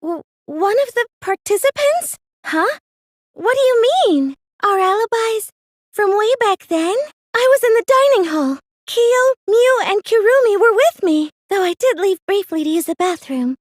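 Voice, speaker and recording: Very high-pitched anime teenage girl. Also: animated, measured pace, dry recording, studio quality. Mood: defensive, flustered. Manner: hesitant and stammering when pressed, earnest, girlish. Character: meek, plain teenage girl cosplayer, secretly a gleeful mastermind. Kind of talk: anime video game dub acting.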